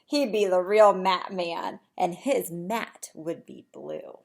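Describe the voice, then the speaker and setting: A woman preschool teacher reading a picture book aloud to young children in an expressive, sing-song way, often asking them questions. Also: lowish voice, slow pace, gravelly.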